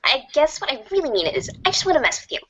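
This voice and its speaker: A woman's voice: sits high, very animated, fast, a bit bright. A woman voicing a bossy, needling blind troll girl in an amateur reader's theater. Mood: scheming. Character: teasing, bossy blind troll girl, a leet-speaking online schemer. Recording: muffled lo-fi, noisy home recording.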